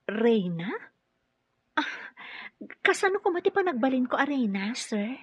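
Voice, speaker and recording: A woman acting in a radio drama as a modest, earnest caregiver with a gentle, hesitant manner. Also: a little high, sing-song, unhurried, husky, dry recording, heavily acted. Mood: surprised, modest. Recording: dull muffled radio drama recording.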